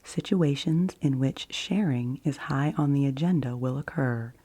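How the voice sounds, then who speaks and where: A woman in her forties gently presenting in a hushed, partly whispered ASMR style, unhurried and close to the microphone. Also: very deep voice. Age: 40s. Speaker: ASMR host. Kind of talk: soft ASMR card reading, partly whispered.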